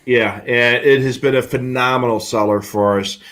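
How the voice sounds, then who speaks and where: Guy on a live show explaining gear casually, average pitched voice. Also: steady tone, moderate pace, fairly bright tone. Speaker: co-host of a live radio scanner show. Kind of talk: casual explanatory product talk.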